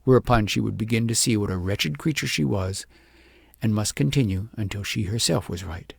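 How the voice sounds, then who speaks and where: A man with a husky, gravelly voice reading an audiobook story in a measured, expressive storytelling manner. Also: fairly low pitch, no echo. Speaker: audiobook reader. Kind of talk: scripted storybook narration.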